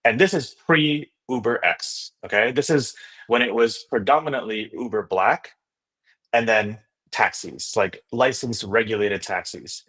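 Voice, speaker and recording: A man's voice: medium pitch, unhurried, a bit bright, low-quality recording. A man from Indonesia, a native Indonesian speaker, telling his story enthusiastically as a guest in a casual interview, often checking in with "you know" and "right?". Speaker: podcast guest, startup founder and investor.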